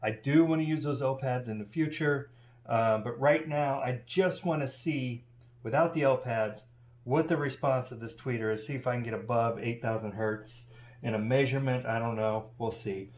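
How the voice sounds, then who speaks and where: A man's voice: medium pitch, moderate pace. A man talking casually and thinking aloud as he hosts a hands-on DIY workshop demonstration. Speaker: DIY audio channel host. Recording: steady background noise and hum.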